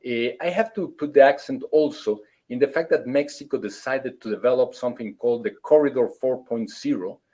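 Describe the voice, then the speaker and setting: A man, a consulate official, giving formal opening remarks at a webinar over a video call, halting and full of fillers. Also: medium pitch, mellow. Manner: halting, many fillers and restarts, formal phrasing.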